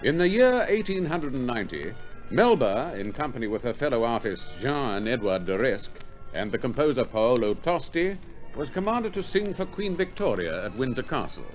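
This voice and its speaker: A man's voice: mid-range voice, big pitch swings, normal speed, natural voice. A man narrating an old radio drama in a scripted, formal, dramatic announcer's manner. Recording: muffled old radio recording over music.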